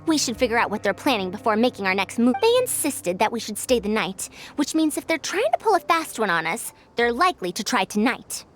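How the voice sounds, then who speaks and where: A woman's voice: on the high side, big pitch swings, fairly quick, few pauses. A woman voicing a shrewd, self-assured female scholar in a video game, articulate and imperious as she explains. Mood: calculating. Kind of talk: scripted video game voice acting.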